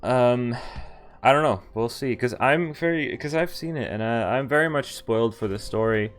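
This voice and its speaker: A man's voice: medium pitch, moderate pace. A man streaming a visual novel, reading its dialogue aloud and commenting casually. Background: game music.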